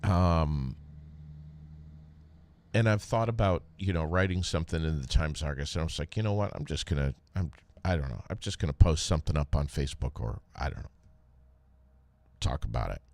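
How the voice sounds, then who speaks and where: An American man hosting a podcast, talking casually and haltingly with frequent pauses. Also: extremely low pitch, expressive, a little mellow. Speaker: podcast host, former radio DJ. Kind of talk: casual solo podcast talk with read sponsor plug.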